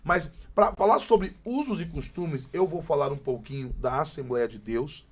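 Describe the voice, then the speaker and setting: A man's voice: fairly high pitch, moderate pace. Emphatic São pastor in his forties. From Brazil, Greater São Paulo. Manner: emphatic, preacherly repetition. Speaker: pastor, podcast guest. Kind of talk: casual podcast chat.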